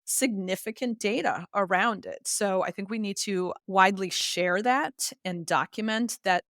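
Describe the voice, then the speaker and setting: A woman speaks in a fairly low voice, recorded dry with very little room sound. She explains her points earnestly and articulately, in the style of an expert being interviewed. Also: a bit bright, no room sound. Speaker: literacy expert and author, podcast guest.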